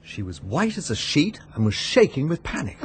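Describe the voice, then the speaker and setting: An actor playing a courteous, cautious gentleman investigator in a radio drama, polite, probing and sceptical. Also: a little high, big pitch swings, normal speed, a little hiss or hum. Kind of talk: 1940s-style British radio drama acting.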